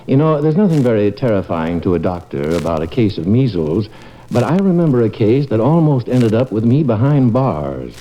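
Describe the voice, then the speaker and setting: A man's voice: fairly low pitch, sing-song, moderate pace, dark tone. A man playing a wry, middle-aged small-town doctor and father in old-time radio sitcom style, with dry understatement. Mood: wry narrating. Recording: muffled old radio broadcast.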